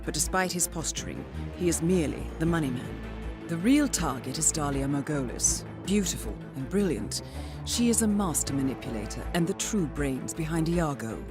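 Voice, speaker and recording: An actress playing a composed female handler of an assassin, speaking in a measured, articulate, formal way as in a scripted video game briefing. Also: sits low, expressive. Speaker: handler giving mission briefings. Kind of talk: scripted dramatic game narration. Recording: dramatic music behind the voice.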